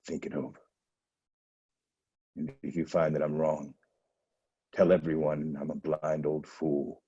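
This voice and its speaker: An actor playing a stern, foreboding blind old prophet in a dramatic reading over a video call, with a low, husky, deliberate delivery. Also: male voice, mellow, exaggerated voice. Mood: grim foreboding. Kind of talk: Zoom dramatic reading of a Greek tragedy. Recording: dull lo-fi video call audio.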